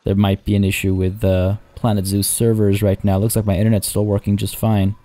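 A hoarse young man casually commenting as he plays a zoo-building game, chatty and rambling. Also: on the low side, flat delivery, fairly quick, warm tone. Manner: rambling, chatty, many restarts and fillers. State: hoarse, losing his voice. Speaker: let's play host.

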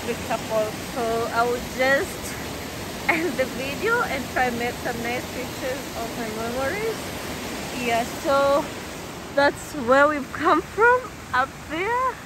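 A young woman with a high voice talks at an unhurried pace into a phone held at arm's length on a forest trail. She is chatty and giggly and drifts between English and Swahili. The rush of a stream and waterfall comes through clearly behind her. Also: expressive. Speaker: vlogger. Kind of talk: casual vlog narration while hiking. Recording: outdoor noise from stream and waterfall.

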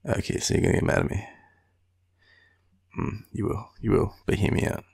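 A tired young Australian man murmuring an affectionate, rambling boyfriend roleplay in a deep, gravelly, breathy voice. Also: fairly even intonation, moderate pace, a little mellow. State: tired, sleepy. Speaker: boyfriend roleplay audio performer. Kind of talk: intimate sleepy roleplay, ASMR-like rambling.

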